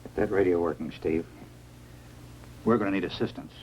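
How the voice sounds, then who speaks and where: Grave, low-voiced 1950s film doctor. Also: male voice, normal speed, a little mellow, a little hiss or hum, rough recording. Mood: urgent. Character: grave, authoritative male scientist doctor. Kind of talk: 1950s science fiction film acting. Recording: old film soundtrack with faint music.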